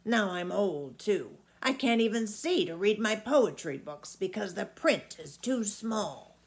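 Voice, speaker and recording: A woman voicing a very old, toothless, gruff male giant from a storybook, fierce yet wistful, with a booming, roaring delivery. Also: lively intonation, a little slow. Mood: grumbling, wistful. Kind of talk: storybook character voice.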